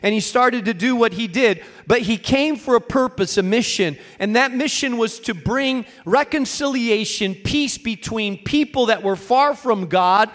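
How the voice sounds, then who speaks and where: A man preaching a sermon as a pastor, speaking in short, weighted phrases with dramatic pauses and emphatic repetition. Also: very high voice, fairly bright tone.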